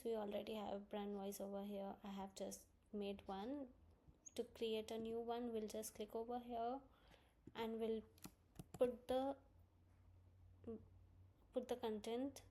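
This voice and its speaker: A woman presenting a software tutorial, explaining each step in instructional narration. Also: a little high. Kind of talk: screen-recorded software walkthrough, instructional narration.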